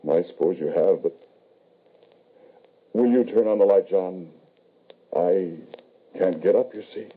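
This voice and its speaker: A man's voice: sits low, measured pace. An actor playing a frail, grieving older father, hesitant and pleading, in a 1940s radio horror style. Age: middle-aged or older. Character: frail, grieving father, anxious and desperately loving. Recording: noisy, tinny old-time radio recording.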